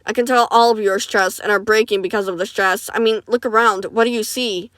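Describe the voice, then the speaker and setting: A calm, caring male medic talks in a steady, gentle, reassuring way, read by a woman in her own voice. The sound is smooth and clear, recorded close and dry. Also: mid-range voice, brisk, no room sound, close to an everyday voice.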